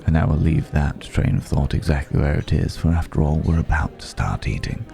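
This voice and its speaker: A man playing a suave, courteous vampire in an intimate ASMR roleplay, speaking in a refined, formal, gently amused way with lingering pauses. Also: very deep voice, lively intonation, vocal fry, heavily acted. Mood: reflective, confiding. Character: suave, courteous male vampire dining with a mortal guest.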